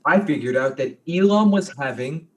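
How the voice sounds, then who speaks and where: A woman playing a bumbling, excitable amateur sleuth in a comic mystery, flustered and dramatic. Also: low voice, moderate pace. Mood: excited, flustered.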